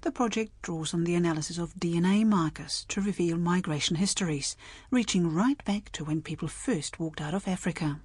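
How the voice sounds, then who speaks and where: A woman's voice: fairly low pitch, brisk, a little mellow, breathy edge, dry recording. A woman, narrating and interviewing as a radio reporter. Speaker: reporter and presenter.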